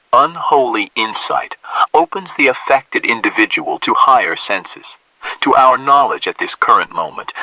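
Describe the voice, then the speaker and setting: A man narrating an official training briefing in a solemn, measured tone. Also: fairly low pitch, bright, forceful tone, dry recording, low-quality recording. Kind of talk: scripted narration for an analog horror tape.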